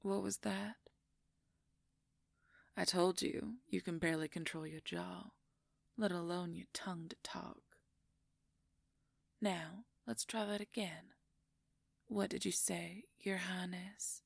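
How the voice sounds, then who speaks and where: A woman playing a smug, possessive royal werewolf schemer in an audio roleplay, silky and condescending, with a husky, breathy, creaky near-whisper. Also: lowish voice, steady tone, dry recording, full character voice. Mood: condescending, mock tender. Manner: silky, condescending, slow and deliberate. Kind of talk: audio roleplay acting, addressing the listener.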